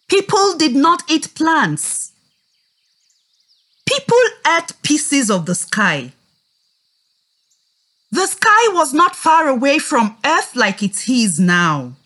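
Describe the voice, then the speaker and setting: A woman with a high, very lively voice teaches young children through a story. She speaks extremely slowly and deliberately, as a teacher would, with music behind her. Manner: slow, deliberate, expressive, prompting listeners to repeat. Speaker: teacher leading a children's read-aloud lesson.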